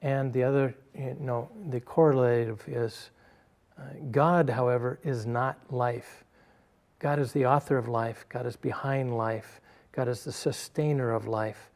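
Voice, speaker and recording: A man speaking as a guest in a religious discussion, deliberate and emphatic, repeating his key phrases. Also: mid-range voice, fairly even intonation, darkish tone. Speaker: panel guest.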